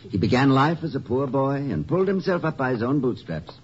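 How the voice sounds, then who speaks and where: An actor playing a mild, urbane, gently witty college president in a 1950s radio comedy, speaking politely and hesitantly with ornate, wry wording. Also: male voice, mid-range voice, big pitch swings, fairly quick, close to an everyday voice. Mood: mild, thoughtful. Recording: muffled, noisy vintage 1950 radio recording.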